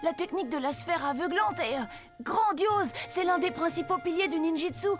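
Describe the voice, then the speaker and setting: Eager cartoon schoolboy: very high child's voice, earnest, emphatic, enthusiastic. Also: female voice, moderate pace, over music. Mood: earnest, awed. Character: eager, earnest ten-year-old ninja schoolboy. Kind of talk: French cartoon dub.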